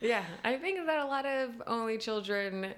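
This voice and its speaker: A woman's voice: on the high side, expressive, moderate pace. A young woman being interviewed as a guest, chatty and casual, with plenty of fillers and frequent laughs. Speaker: costume designer, guest.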